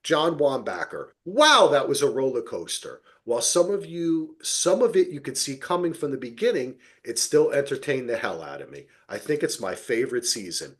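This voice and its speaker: Animated male podcast host, lively pitch swings. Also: moderate pace, hardly any pauses. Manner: animated, chatty, informal. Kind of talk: casual TV recap discussion, reading listener comments.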